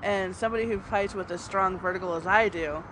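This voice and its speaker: A woman co-hosting a podcast, telling stories in a relaxed, easygoing way, her voice deeper than usual today. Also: brisk, noisy background. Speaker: podcast co-host. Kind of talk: casual chat.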